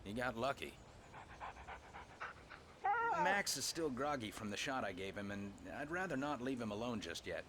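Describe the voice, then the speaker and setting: A man's voice: mid-range voice, animated, a little fast, heavy background noise, natural voice. A man playing a friendly, easygoing, folksy park ranger in a video game, politely spoken. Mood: concerned.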